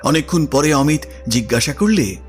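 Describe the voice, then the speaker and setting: A man's voice: a little high, lively intonation, normal speed, soft background music. A man narrating a scripted literary story expressively, as the narrator of an audio drama.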